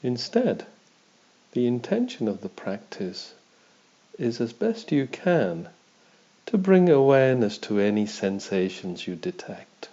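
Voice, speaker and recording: A man leads a guided meditation in a dark, soft-edged voice. He speaks very slowly and calmly, giving measured instructions. The audio is somewhat dull and muffled. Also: mid-range voice. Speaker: meditation teacher. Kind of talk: guided body scan meditation.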